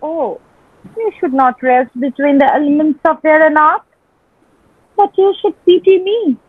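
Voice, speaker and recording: Woman as a very high-pitched, ardent young envoy. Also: no echo. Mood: impassioned. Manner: declamatory, drawn-out, ardent. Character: ardent young gentleman envoy courting a lady. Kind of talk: dramatic reading of Shakespearean comedy. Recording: thin phone-like band, dry sound.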